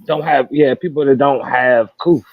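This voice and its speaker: A man's voice: mid-range voice, normal speed, no echo. A man chatting casually and reflectively as a talk show panelist, over a lo-fi connection. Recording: dull lo-fi connection.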